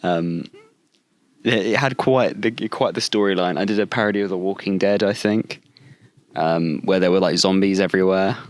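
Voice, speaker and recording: Young male co-host from the UK, very low voice, dry close sound, loose rambling chat. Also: normal speed, no echo. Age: young adult. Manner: laid-back, rambling storyteller with many fillers. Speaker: podcast co-host. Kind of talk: casual chat.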